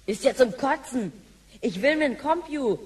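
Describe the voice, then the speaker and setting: An actress playing a brash, impatient, slangy youngster in a science fiction radio play, cheeky and whiny. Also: female voice, high voice, expressive, moderate pace, a little mellow. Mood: scornful, alarmed.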